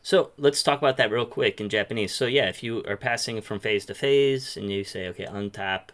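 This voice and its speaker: A man's voice: fairly low pitch, fairly quick, fairly bright tone. A man hosting a casual, instructional discussion, asking questions and explaining terms step by step. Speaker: host leading the discussion.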